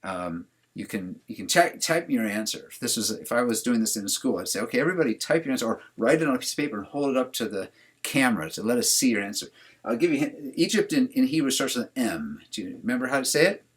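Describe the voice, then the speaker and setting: A man's voice: mid-range voice, animated, quick pace, breathy edge. A man teaching a religious class over a video call, talking casually and putting questions to his students. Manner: conversational, asks the class questions.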